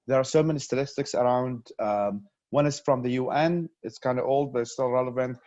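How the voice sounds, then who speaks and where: Man from Iraq, interview guest on a dull, lo-fi video call; earnest, mid-pitched, restarts sentences, dry sound. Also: a little fast, darkish tone, no echo. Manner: earnest, frequent restarts and repeated words. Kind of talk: conversational interview answers.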